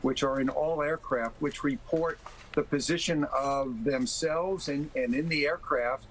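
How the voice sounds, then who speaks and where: A man speaking as an expert guest in a TV interview, explaining things in a measured, careful way. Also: a little high, rough recording. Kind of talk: live TV interview.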